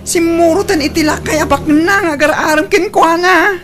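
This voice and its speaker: Very shrill scolding mother. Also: moderate pace, fairly bright tone, slight background noise. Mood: scolding, upset. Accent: Filipino, Ilocano. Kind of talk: radio drama acting.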